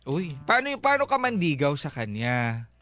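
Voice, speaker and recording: Lively high-pitched Filipino man hosting radio. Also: normal speed. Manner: teasing, jokey. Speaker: radio show host. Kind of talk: casual bantering radio talk. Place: radio studio. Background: music.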